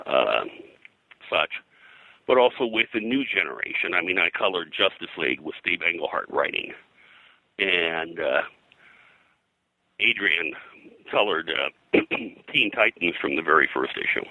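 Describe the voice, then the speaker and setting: Older man, very deep pitch, close dry sound, discursive explanatory interview talk, frequent rephrasing. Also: big pitch swings, a little slow, gravelly, long pauses, bright, forceful tone, dry recording, low-quality recording. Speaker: interview guest, veteran comic book colorist.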